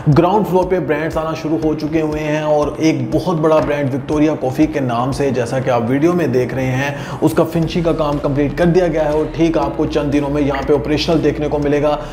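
A young man pitches property investments straight to camera in an emphatic, repetitive way, his voice sitting a little high. He sounds like a studio host who keeps coming back to the same selling points. The recording has a roomy echo with clear background noise underneath. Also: fairly even intonation, a little fast, hardly any pauses, dark tone. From Pakistan. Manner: emphatic, repetitive, direct address to viewers. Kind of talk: persuasive sales pitch to camera. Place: indoor studio, seated before a backdrop.

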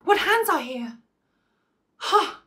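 A woman's voice: very high-pitched, animated, takes it slowly, sharp tone, voice pushed up, heavily acted. Anguished, jumpy murderer in a stage tragedy woman performing the male role, declamatory, haunted by guilt. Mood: alarmed. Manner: dramatic, declamatory Shakespearean delivery.

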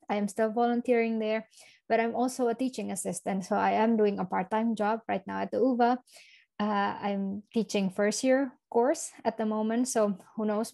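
Young woman from Indonesia, gravelly tone. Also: medium pitch, dark tone. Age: young adult. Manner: earnest, conversational, frequent ums. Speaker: student. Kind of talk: online info session talk. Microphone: video call.

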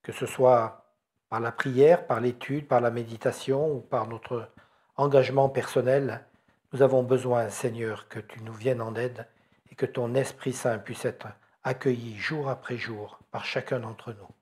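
A man's voice: mid-range voice, steady tone, darkish tone. Middle-aged man praying earnestly. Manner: earnest, measured prayer. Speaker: church member leading prayer. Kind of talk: closing prayer. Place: small church hall. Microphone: handheld mic.

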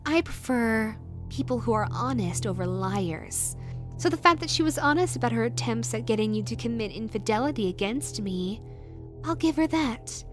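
Girl voice actor doing a creepy lovesick yandere woman for audio roleplay, really high cooing voice, slow, sweet but threatening. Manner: cooing, drawn-out, possessive, swinging from syrupy to threatening. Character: obsessive, sweetly menacing yandere woman in rabbit costume. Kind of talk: dramatic audio roleplay addressed to the listener.

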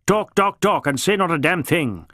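A man's voice: a little high, animated, normal speed, sharp tone, no echo, clean studio sound. A man voicing a stern, irascible senior Indian police officer in an audiobook, brusque and barking, with an Indian accent. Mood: angry, dismissive. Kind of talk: audiobook character voice.

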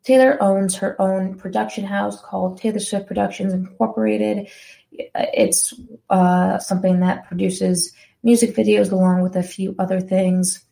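A young woman hosts a solo music podcast in a chatty, eager way, stopping to correct herself as she goes. Her voice sits at a middling pitch with a mellow, dark tone and a noticeable gravelly fry. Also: fairly even intonation, measured pace. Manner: chatty, enthusiastic, self-correcting. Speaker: podcast host. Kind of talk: casual solo podcast talk.

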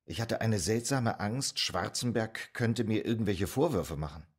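Radio drama casting: deep-voiced, measured psychoanalyst, serious and troubled, period Vienna. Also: male voice, lively intonation, slightly rough, heavily acted. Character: earnest, troubled male psychoanalyst in 1920s Vienna. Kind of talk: German radio drama acting.

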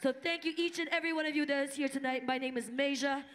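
A woman's voice: sits high, quick pace, a little hiss or hum. A woman from Singapore, an opening MC and rapper, hyping a live crowd on a club stage through a handheld mic. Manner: energetic, call-and-response with the audience. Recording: live concert PA with loud music. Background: loud music.

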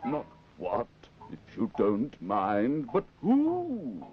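Grumpy, swooping talking parcel. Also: male voice, mid-range voice, wildly swooping pitch, drawn-out words, heavily acted. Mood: huffy. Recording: dull 1960s television film soundtrack, music.

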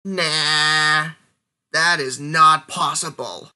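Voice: medium pitch, steady tone, extremely slow, noisy background. A synthetic text-to-speech voice playing a playful cartoon Jedi master.

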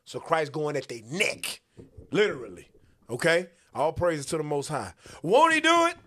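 A man hosts a religious commentary show from a small studio, speaking into a boom mic. He teaches in a preacherly style, his voice a little high and lively, and he keeps calling for scripture to be read. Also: moderate pace. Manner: animated, preacherly, calls for verses. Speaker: host and Bible teacher. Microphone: boom-arm studio mic.

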